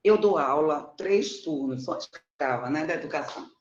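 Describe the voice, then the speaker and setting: A woman's voice: deep voice, lively intonation, normal speed, darkish tone. Echoey dull call audio, middle-aged woman, Bahian accent, chatty, self-deprecating, informal teacher presentation. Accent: Bahian (Salvador) Brazilian Portuguese. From Salvador, Bahia, Brazil. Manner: chatty, self-deprecating, often seeking confirmation. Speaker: mathematics teacher presenting research. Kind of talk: informal online oral presentation. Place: indoors, on an online conferencing platform. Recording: muffled, roomy online call audio.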